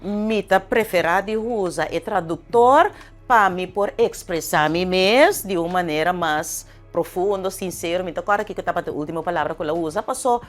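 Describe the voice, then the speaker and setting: A woman hosting a talk show, often pausing and searching for her words. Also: lowish voice, faint music behind. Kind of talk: studio talk show discussion.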